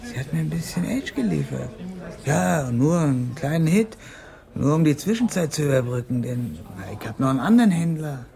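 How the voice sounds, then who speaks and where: A man's voice: on the high side, lively intonation, moderate pace, gravelly, warm tone. An actor playing an edgy, wary drug addict at the end of his tether in a radio crime drama, talking colloquially. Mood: confiding, matter-of-fact.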